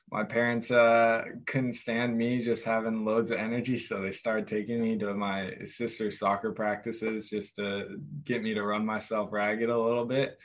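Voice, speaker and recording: A young man, a college goalkeeper, answering interview questions casually with a lot of hesitation and restarts. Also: fairly low pitch. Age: young adult. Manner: hesitant, many fillers and restarts.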